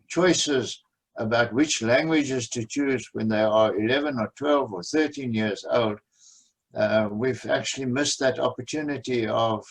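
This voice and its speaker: A man takes part in a panel discussion in a medium-pitched voice. He speaks carefully, in a questioning manner, laying out his point. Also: low-quality recording. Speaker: discussion participant.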